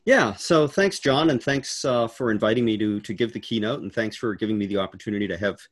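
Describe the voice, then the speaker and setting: A man in his sixties giving a halting, storytelling answer in an informal virtual interview, with many fillers and the odd laugh. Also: lowish voice, slightly rough. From United States. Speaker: keynote speaker. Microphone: video call.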